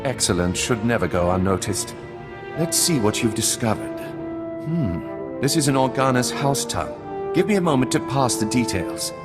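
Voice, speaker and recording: A man's voice: mid-range voice, sing-song, moderate pace, close to an everyday voice. A man playing an imperious, scheming noble baron in a sci-fi game, speaking formally. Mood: measured, scheming. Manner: formal, aristocratic phrasing. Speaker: video game voice actor. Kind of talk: dramatic game cutscene dialogue. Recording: long hall reverb, faint music behind.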